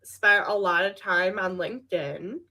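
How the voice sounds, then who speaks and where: A woman hosting a casual podcast, relaxed and candid, giggling as she talks about her day. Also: on the low side, a little slow. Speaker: podcast host.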